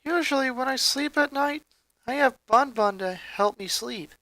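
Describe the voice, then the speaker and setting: A man voicing a sensitive, shy young boy in a story reading, halting and uncertain. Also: very high voice, sharp tone, no room sound, pitched above the performer's own voice, exaggerated voice. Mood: shy, sheepish.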